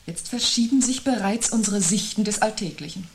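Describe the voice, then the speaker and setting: An actress as a dry, sardonic, sceptical wife. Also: female voice, mid-range voice, normal speed, a little hiss or hum, close to an everyday voice. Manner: dry, ironic, pointed. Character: sardonic, sceptical wife parrying her husband's unease. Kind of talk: 1970s radio drama acting.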